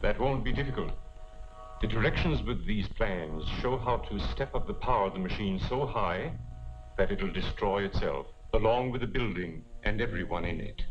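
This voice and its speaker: Man acting a low-voiced, animated serial henchman. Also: moderate pace, over music, lightly acted. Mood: coolly sinister. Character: confident criminal henchman of a villain. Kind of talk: 1940s film serial acting. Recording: dull lo-fi 1940s film soundtrack.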